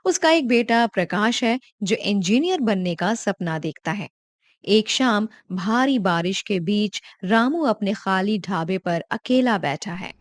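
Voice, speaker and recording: A woman narrating a cartoon story in a calm, even storytelling voice. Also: mid-range voice, measured pace, no room sound, studio quality.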